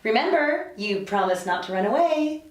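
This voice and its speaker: A woman's voice: fairly high pitch, sing-song, normal speed, far from the mic. A woman acting a chatty, reassuring roommate in a TV script table read, friendly and placating but easily exasperated. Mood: friendly, explaining. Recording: echoey room, slightly off-mic.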